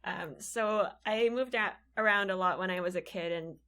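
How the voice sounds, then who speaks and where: A woman's voice: mid-range voice, moderate pace, fairly bright tone, clear, resonant tone. A woman from the Philippines co-hosts a casual podcast. She speaks earnestly and encourages her listeners. She is recording in a library studio. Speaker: podcast co-host.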